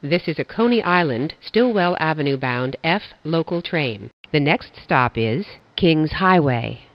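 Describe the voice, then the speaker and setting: An automated-sounding subway announcer reading stops and transfers in flat, measured phrasing. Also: mid-range voice, dry recording. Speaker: train announcer. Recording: dull lo-fi audio.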